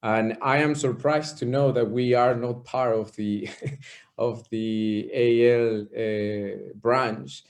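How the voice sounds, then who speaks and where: A polite man from Ecuador joins an academic discussion over a video call. His voice sits at a middle pitch, and he speaks in a measured way, pausing on fillers as he builds his point. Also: fairly even intonation. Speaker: doctoral researcher, audience participant.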